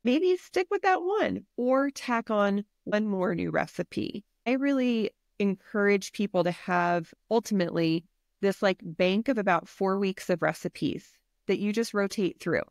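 A woman's voice: mid-range voice, lively intonation, unhurried, vocal fry, dry recording, studio quality. A woman health and wellness coach, a podcast guest, talking in an earnest, encouraging, practical way. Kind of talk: podcast interview.

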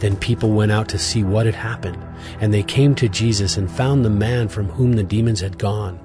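Low-voiced male scripture narrator over music. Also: steady tone, moderate pace.

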